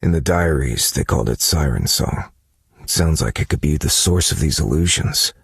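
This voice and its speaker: An actor playing a brooding, analytical detective-novelist in a game, with a gravelly, husky voice, musing to himself in a measured, contemplative way. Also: male voice, extremely low pitch, expressive, no room sound, studio quality, exaggerated voice. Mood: pondering, puzzled. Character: brooding, analytical male detective-novelist unraveling dark mysteries. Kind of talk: scripted game character monologue.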